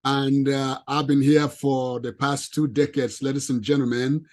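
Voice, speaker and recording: A man with a non-native English accent giving an animated, emphatic presentation to a professional audience. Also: mid-range voice, unhurried. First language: not English. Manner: emphatic, lively, addresses ladies and gentlemen.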